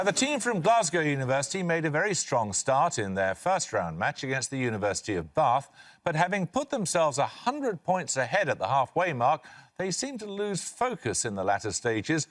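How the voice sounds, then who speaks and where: An older man hosting a television quiz, reading questions in a crisp, brisk manner. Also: mid-range voice. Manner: crisp, brisk quizmaster delivery. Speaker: quiz show host.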